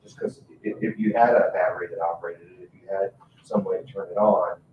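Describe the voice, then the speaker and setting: A man speaking informally at a public meeting in a halting, plain-spoken way, picked up in a meeting room. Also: sits low, fairly even intonation. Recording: echoey meeting room, distant mic, dull, noisy.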